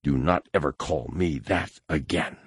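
A man voicing a cold, cruel one-eyed male warrior who speaks in a low, hissing, threatening way. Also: animated, normal speed, no echo. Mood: menacing.

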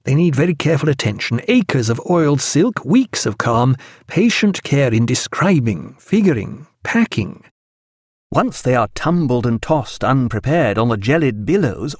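A man's voice: mid-range voice, very animated, unhurried, a little mellow, no room sound, clean studio sound. A man voicing a gloomy, fussy ship's doctor and naturalist in an audiobook, precise and formal. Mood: anxious, fussy.

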